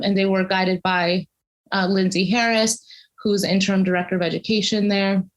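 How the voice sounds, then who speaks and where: This is a woman being interviewed as a cultural consultant and academic. She explains things conversationally but carefully. Her sentences are long, measured and articulate, full of detail. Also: medium pitch.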